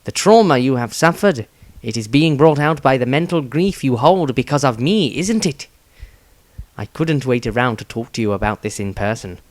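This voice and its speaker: A man's voice: medium pitch, lively intonation, moderate pace, no echo, close to an everyday voice. Man voicing a remorseful, earnest scoundrel brother. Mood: urgent pleading.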